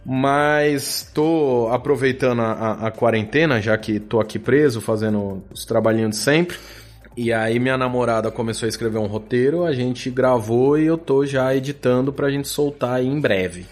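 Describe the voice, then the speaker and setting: A man's voice: mid-range voice, moderate pace, soft background music. A man with a Brazilian Portuguese accent in casual podcast chat, speaking informally as a guest who produces audio dramas, in a jokey, sweary way.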